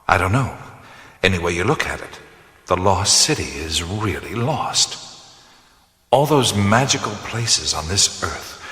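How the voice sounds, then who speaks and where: A slow, husky, brooding adventurer. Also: male voice, sits low, expressive, long reverb tail, heavily acted. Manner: slow, reflective, often hushed. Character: wry, weary adventurer-mystic man. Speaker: lead character. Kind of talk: radio play acting.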